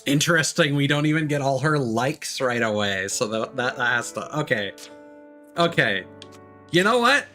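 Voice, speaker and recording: A man doing casual live game commentary as a streamer, thinking aloud in a rambling, halting way. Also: medium pitch, lively intonation, bright, forceful tone. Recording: music behind the voice. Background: game music.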